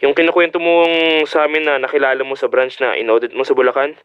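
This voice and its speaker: A man's voice: mid-range voice, little pitch movement, quick pace, clean tone, no room sound, muffled recording, lightly acted. A man voice-acting a hesitant, apologetic young friend on a call in a Filipino audio drama. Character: sheepish, apologetic young male friend on phone.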